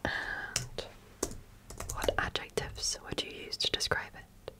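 A woman whispering softly and breathily in an ASMR medical exam roleplay, speaking as a gentle, attentive and reassuring clinician. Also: slow. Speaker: ASMR creator playing an alien medical examiner.

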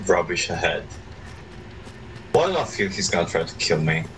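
A man's voice: deep voice, lively intonation, moderate pace, a bit bright, a little hiss or hum, rough recording. A young man playing a game with a friend, laid-back and giving brief replies. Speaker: co-op player guest. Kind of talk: casual gameplay chat.

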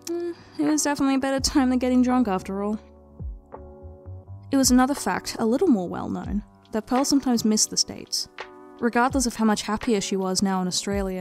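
A woman's voice: medium pitch, darkish tone. A woman narrating her own written story as a dramatic reading, in the manner of a storyteller. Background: background music.

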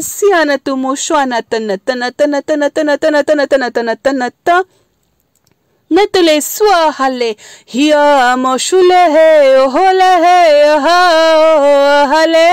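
A woman with a high, light voice chants a spiritual light language at an unhurried pace. Her syllables roll in long repeated runs and slip into gentle singing. The sound is close and dry, with no room around it. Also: no echo. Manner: slow flowing chant, syllables repeated in rhythmic runs, drifting into soft singing. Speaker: spiritual healer.

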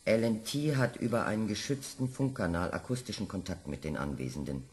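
A man's voice: on the low side, close to an everyday voice. A man playing a formal commission chairman. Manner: formal, brisk, controlling. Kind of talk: radio play acting.

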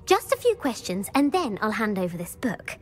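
A woman's voice: mid-range voice, big pitch swings, moderate pace, a little mellow. A young woman voicing a bookish student in a video game who eagerly lectures and quizzes others. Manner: eager and lecturing, bursts of praise.

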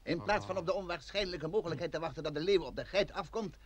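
A man's voice: fairly high pitch, fast, natural voice. An actor playing a stubborn, pompous village man insistently arguing for his scheme in an old Dutch radio drama.

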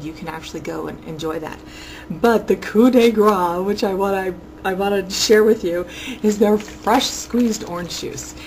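A woman's voice: medium pitch, poor audio. A woman, around her forties, gushing enthusiastically as she reviews a local outing for her webcam vlog, recorded indoors at home on a built-in mic. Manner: gushing and enthusiastic, exclaims over things. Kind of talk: casual chatty review to webcam. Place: small room at home, indoors. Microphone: built-in webcam mic at close range.

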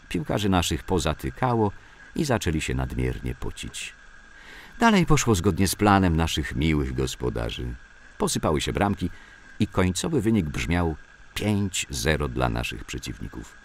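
A man's voice: a bass voice, creaky, slight background noise. A man reads scripted memoirs as a documentary narrator. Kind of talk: scripted documentary narration of sports-club recollections.

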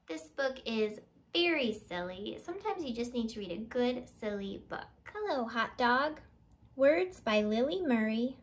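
A woman in her thirties hosting a children's storytime, reading a picture book expressively and deliberately. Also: high-pitched, fairly bright tone. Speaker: library storytime host.

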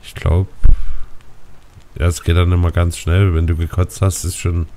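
Deep mellow guy gaming with a buddy, laid back, faint hiss behind. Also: normal speed. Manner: relaxed, talks to viewers. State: stuffy nose. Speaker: let's play host. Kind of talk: casual gaming chat.

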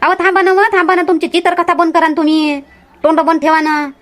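A woman's voice: very high-pitched, little pitch movement, quick pace, a bit bright. A woman voicing an eager, outspoken village woman in a comedy cartoon. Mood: scolding. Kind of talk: homemade comedy cartoon dialogue.

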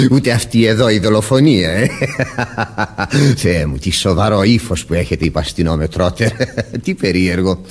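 An actor playing an evasive, theatrical older gentleman in a Greek radio play, with a husky voice and an amused, teasing manner. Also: on the low side. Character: mocking, theatrical foreign gentleman, seemingly elderly, evasive.

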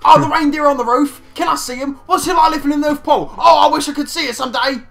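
A man's voice: extremely high pitch, fairly even intonation, quick pace, gravelly, fairly bright tone, pitched above the performer's own voice, exaggerated voice. A man voicing an eager, naive little boy in a comic reading of a story. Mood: excited.

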